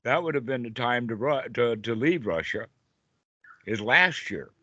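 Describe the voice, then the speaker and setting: Older guy teaching buddhist stuff on a call, jovial n plainspoken, sharp bright voice, muffled lo-fi sound. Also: medium pitch, expressive, a little slow, no echo. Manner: jovial, colloquial, rhetorical check-in questions. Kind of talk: informal dhamma talk in a group call. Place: online group video call. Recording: dull lo-fi online call audio.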